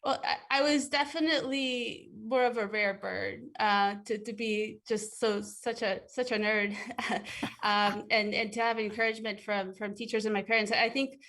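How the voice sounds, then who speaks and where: A woman's voice: mid-range voice, steady tone, moderate pace, a bit bright. A woman from Ecuador, a guest in an interview, answering thoughtfully with frequent fillers and restarts.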